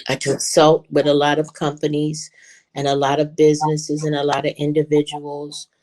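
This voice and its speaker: A man's voice: a little high, completely flat delivery, normal speed, a little mellow. A man speaking as an interview guest and activist, emphatic and repeating his points. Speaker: guest, activist and nonprofit director. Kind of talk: interview talk.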